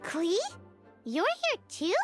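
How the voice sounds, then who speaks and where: A woman voicing a tiny, chirpy, cheeky fairy sidekick in a video game, squeaky and exclamatory. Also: huge pitch swings, slow, full character voice. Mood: surprised, chatty. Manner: squeaky, exclamatory, talks in third person. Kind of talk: anime-style video game acting. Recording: music under the voice.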